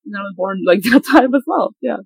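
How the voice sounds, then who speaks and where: Fast-talking young woman from Hong Kong. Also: mid-range voice, expressive, slightly rough, no room sound, rough recording. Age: mid-twenties. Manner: quick, chatty, lots of fillers and self-deprecating laughs. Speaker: interview guest. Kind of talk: casual interview on a call. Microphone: video call.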